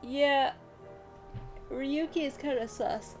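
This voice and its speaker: A woman's voice: high voice, slow, soft background music. A woman chatting casually as a video game streamer, musing and often letting thoughts trail off.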